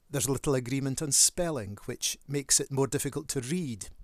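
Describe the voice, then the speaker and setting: A middle-aged man with a northeast Scottish accent, a church minister giving a measured, earnest introduction to his channel. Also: mid-range voice, no room sound. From northeast Scotland.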